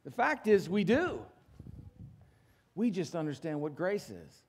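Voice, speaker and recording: A man's voice: high-pitched, big pitch swings, unhurried. Man preaching emphatically in church. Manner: emphatic preaching with dramatic volume swings, asks the congregation for assent. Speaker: pastor. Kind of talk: sermon. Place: church stage with congregation.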